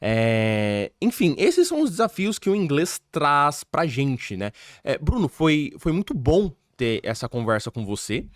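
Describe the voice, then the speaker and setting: A man's voice: fairly high pitch, measured pace, few pauses, no echo. Brief: Brazilian male podcast host, emphatic and chatty, speaks straight to viewers, close dry sound. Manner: animated, emphatic, addresses the audience directly. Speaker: podcast host and English teacher. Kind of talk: casual interview chat. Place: podcast studio.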